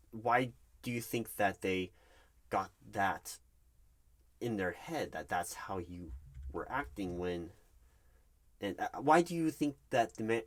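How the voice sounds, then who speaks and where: A man acting as a nervous, polite recruiting manager in a one-sided ASMR job interview roleplay, speaking haltingly and questioningly. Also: fairly low pitch. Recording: clear background noise, keyboard typing. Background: loud computer keyboard typing and clicks.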